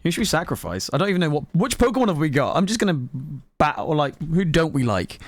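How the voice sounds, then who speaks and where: A woman giving casual, reactive gameplay commentary in an informal, excitable way. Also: low voice, fast, no room sound. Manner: animated, reactive, giggly, informal with mild swearing. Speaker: gaming video host and player.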